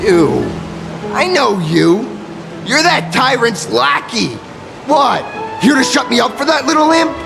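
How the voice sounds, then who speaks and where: A bitter, hot-tempered dissident from a video game, with a gravelly creak in the voice. The scornful delivery lurches up and down in pitch over background music. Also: female voice, very animated, a little slow, exaggerated voice. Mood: accusing. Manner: scornful, accusatory. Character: bitter, hot-tempered rebellious citizen denouncing a tyrant. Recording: music under the voice.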